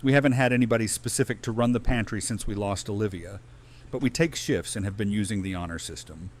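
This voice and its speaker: Man playing practical survivor dad in audio drama, lowish voice, easygoing, matter of fact. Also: fast, slight background noise, lightly acted. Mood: good-humoured. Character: Aaron, good-humoured practical man, settlement survivor and father. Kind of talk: audio drama acting, naturalistic.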